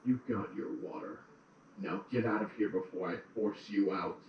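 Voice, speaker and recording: A man's voice: on the low side, moderate pace, lightly acted. A man playing a stern, threatening guard in a fantasy audio drama, curt and commanding. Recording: very echoey, distant, muffled, faint noise.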